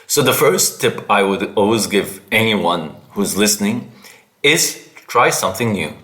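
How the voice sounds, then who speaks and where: A man whose first language is Arabic, hosting a casual solo podcast, haltingly searching for words and repeating them for emphasis. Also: lowish voice, expressive, sharp tone. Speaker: podcast host.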